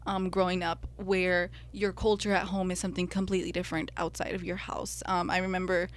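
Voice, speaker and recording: A woman's voice: on the low side, little pitch movement, a little fast. Earnest young woman telling her story. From United States. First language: Spanish. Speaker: podcast co-host. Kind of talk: personal reflective podcast talk.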